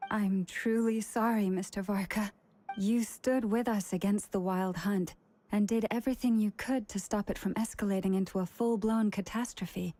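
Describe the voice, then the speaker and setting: Soft, mellow young maiden, acted by a woman. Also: mid-range voice, steady tone, normal speed, natural voice. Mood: remorseful, gentle. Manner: soft, polite, sincere. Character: gentle, formal, apologetic young maiden. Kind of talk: video game voice acting.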